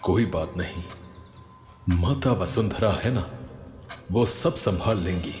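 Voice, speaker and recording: A man voicing an earnest middle-aged village father who gently reproves, in a moral story. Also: sits low, slow, echoey room, soft background music. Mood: concerned. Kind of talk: animated moral story dialogue.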